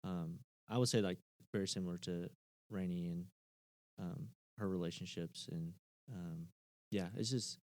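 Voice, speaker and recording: A man's voice: sits low, fairly even intonation, unhurried, a little mellow, no echo. A man, a church member, talking earnestly in casual conversation, often stopping and restarting.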